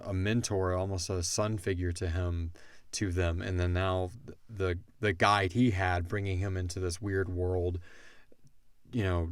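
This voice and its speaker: A man's voice: low voice, dry recording. A man hosting a podcast, casually discussing a story and acting out bits of dialogue as he retells it. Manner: animated retelling, acts out characters' lines. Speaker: podcast host and audio drama creator answering listener questions.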